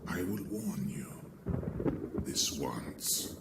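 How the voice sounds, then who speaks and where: A man playing a grandiose, mocking warlord villain in a dramatic video game cutscene, gravelly and ominous. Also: deep voice, big pitch swings, very noisy, exaggerated voice. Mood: cold menace. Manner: slow, theatrical, ominous. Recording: roomy echo with music behind.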